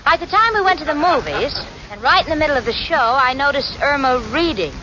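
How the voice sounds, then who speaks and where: A dull, noisy old radio recording has a long-suffering young woman confiding in the listener. Her high voice moves with lively swings, wry but fond, in vintage comedy narration. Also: a little fast, heavily acted. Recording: muffled, noisy 1940s radio broadcast.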